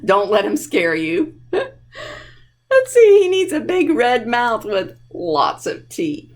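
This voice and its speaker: A woman's voice: a little high, huge pitch swings, slow, darkish tone. A woman hosting a storytime for young children, talking playfully and directly to them as a library presenter. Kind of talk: children's storytime reading and chat.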